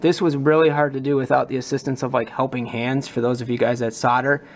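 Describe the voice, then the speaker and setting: A man's voice: mid-range voice, little pitch movement, fast talker, a little hiss or hum. A man giving a casual hands-on tutorial as a hobbyist, unscripted and thinking aloud as he works. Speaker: hobbyist demonstrating a prop modification.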